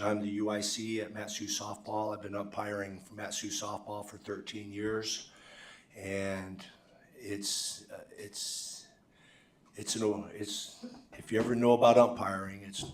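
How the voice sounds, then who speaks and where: A man in his sixties with a hoarse voice, giving halting public testimony as a softball umpire. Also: fairly low pitch, slight background noise. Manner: halting, many restarts. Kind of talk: public comment at a council meeting. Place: council chamber. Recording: echoey council chamber, distant mic.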